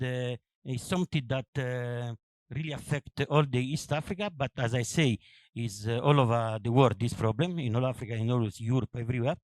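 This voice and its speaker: A middle-aged man with an Italian accent, a company CEO introducing himself on a business panel in halting, non-native English. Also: mid-range voice, darkish tone, no room sound. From Italy. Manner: halting, non-native phrasing, laughs at own story.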